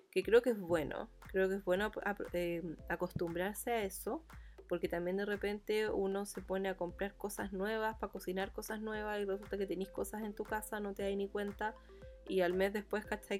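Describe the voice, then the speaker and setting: A woman with a Santiago Chilean accent hosts a solo podcast in a mid-pitched voice. She talks in a colloquial, rambling, conversational way. Music plays behind her. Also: a little mellow. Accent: Chilean Spanish (Santiago). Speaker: podcast host. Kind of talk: casual chatty solo monologue.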